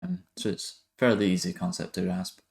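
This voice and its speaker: A man giving a casual software tutorial, talking in a hesitant, halting way as he thinks aloud. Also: low-pitched, big pitch swings, vocal fry. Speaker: music production tutorial host.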